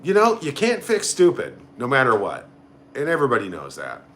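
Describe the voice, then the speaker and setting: A man's voice: medium pitch, wildly swooping pitch, brisk, a bit bright. Blunt man live-streaming over background noise. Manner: blunt, sarcastic, sweary. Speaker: livestream host. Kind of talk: casual live-stream chat, reading out viewer comments and reacting.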